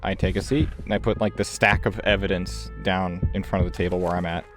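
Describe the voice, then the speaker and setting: A man's voice: sits low, little pitch movement, a little fast. A man playing a tabletop role-playing game, casually describing what his character does and says. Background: music.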